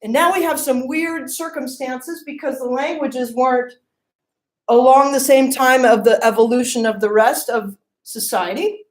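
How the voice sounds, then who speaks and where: A woman's voice: sits high, moderate pace, roomy sound. A woman giving a classroom lecture, often asking the class questions and checking in with "okay?" and "right?".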